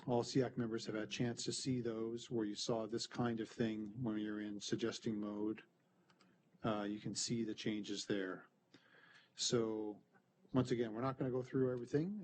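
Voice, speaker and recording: A man's voice: medium pitch, darkish tone, a little hiss or hum. A man presenting an update at a committee meeting, explaining the process in an easygoing way. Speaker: committee member presenting a plan review. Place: board meeting room.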